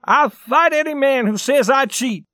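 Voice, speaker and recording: Guy doing a hotheaded young man in an old story, loud, high pitched, insists over and over, outraged. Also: expressive, takes it slowly, dry recording, studio quality, full character voice. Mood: challenging. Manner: loud, insistent, repeating himself. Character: hot-headed, indignant young man demanding a fight.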